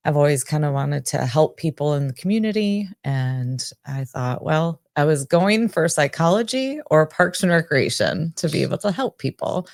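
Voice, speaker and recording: A woman in her forties from Michigan, a nonprofit director, telling her life story in a relaxed, friendly way as an interview guest. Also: low-pitched, moderate pace, few pauses, no echo, clean studio sound. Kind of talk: casual interview.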